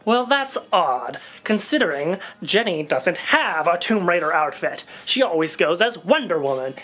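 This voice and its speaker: A woman's voice: lowish voice, very animated, moderate pace, fairly bright tone. A woman voicing a geeky, smug and gullible comic-fan store clerk in an audio comedy, rambling and pedantic. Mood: suspicious, wounded. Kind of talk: audio comedy drama acting.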